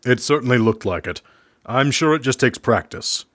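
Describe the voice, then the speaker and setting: A man acting in an audio drama as a discreet medic, speaking in a measured, formal, coy way. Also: medium pitch, expressive, fairly bright tone, no room sound, studio quality, close to an everyday voice. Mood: calm, instructive. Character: dry, discreet male medic quietly aiding prisoners. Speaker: voice actor in a fiction podcast.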